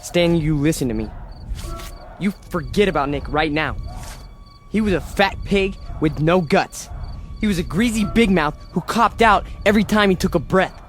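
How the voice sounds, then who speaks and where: Actor as intense, high-voiced fascist rabble-rouser. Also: male voice, expressive, moderate pace, soft background music, lightly acted. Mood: cold, angry. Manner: forceful, commanding. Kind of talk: radio drama acting.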